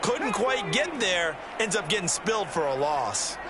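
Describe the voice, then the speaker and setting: High-voiced, folksy American football analyst. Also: male voice, sing-song, unhurried, very noisy. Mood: analytical, approving. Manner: conversational, folksy, emphatic. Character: upbeat former defensive back turned football color analyst. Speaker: color commentator, former player.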